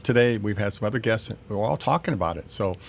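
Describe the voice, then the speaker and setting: A man hosting a talk show, interviewing a guest in a brisk, conversational way. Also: fairly low pitch, animated, vocal fry, a little hiss or hum.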